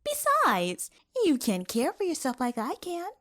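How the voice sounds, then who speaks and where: A woman's voice: sits high, wildly swooping pitch, measured pace, a bit bright, exaggerated voice. A woman playing a hot-blooded, stubborn and teasing young pregnant ninja in a fan-made anime audio drama. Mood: challenging.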